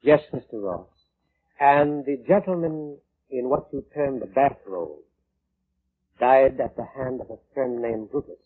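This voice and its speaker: An actor playing a sinister, ominously polite coroner in formal, measured 1940s radio drama style. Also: male voice, mid-range voice, husky. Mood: coolly formal. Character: sinister courteous coroner who claims the dead. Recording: tinny muffled vintage radio recording.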